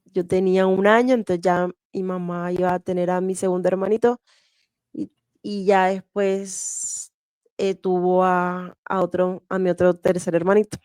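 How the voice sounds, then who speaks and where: A woman from Venezuela telling her childhood story in an interview, slow and even, with soft laughs and fillers. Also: lowish voice, clean tone, dry recording, studio quality. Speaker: interview guest sharing testimony. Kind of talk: candid personal testimony.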